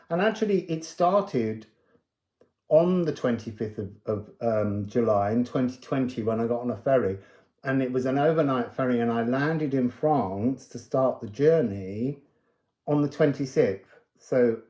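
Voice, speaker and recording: An androgynous-sounding card reader with a dark, mellow tone speaks slowly and casually. The delivery wanders and muses through a spiritual reading, leaning on certain words for emphasis. The room adds a clear echo around the voice. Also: medium pitch, highs cut off. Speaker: tarot and oracle card reader. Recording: roomy, echoing sound.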